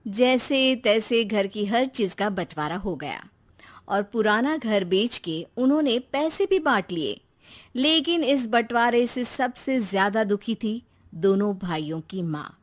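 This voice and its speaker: A woman's voice: mid-range voice, expressive, a little slow. A woman narrating a scripted moral family story as a storyteller.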